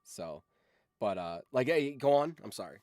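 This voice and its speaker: A man's voice: medium pitch, big pitch swings, normal speed, a little mellow, dry recording. A young man hosting a casual livestream, talking in a rambling way that often trails off, close to the mic. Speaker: streamer and host.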